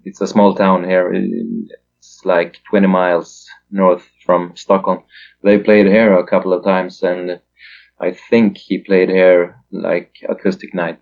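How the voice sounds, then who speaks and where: A man's voice: low voice, creaky, muffled recording. A Swedish man in his thirties, a musician guest in a casual interview, hesitant with lots of fillers. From Sweden.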